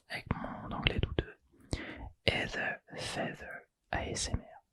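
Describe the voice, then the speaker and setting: A young man whispering a casual, slangy chat for an ASMR channel, close to the mic. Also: no echo. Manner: casual and slangy, self-deprecating. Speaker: ASMR YouTuber.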